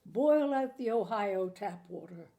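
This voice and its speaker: A woman's voice: medium pitch, lively intonation, measured pace, creaky, warm tone. A woman reading the part of an earnest, wistful, art-obsessed American husband in a story, patient and musing. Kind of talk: acted dialogue in story reading.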